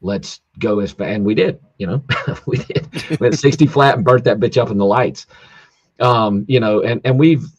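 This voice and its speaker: A man who races drag cars, hoarse from yelling, talking in a rambling casual way full of fillers and restarts over a call. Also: medium pitch, moderate pace, no echo, highs cut off. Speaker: drag racer guest. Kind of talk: casual podcast chat. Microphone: video call, home internet.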